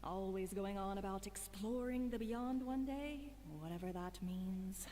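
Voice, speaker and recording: A woman's voice: medium pitch, measured pace, warm tone, in a large hall, a little hiss or hum, close to an everyday voice. A woman voicing a strict, fussing mother in a game, scolding in a reproachful way. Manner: scolding, fussy. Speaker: game character.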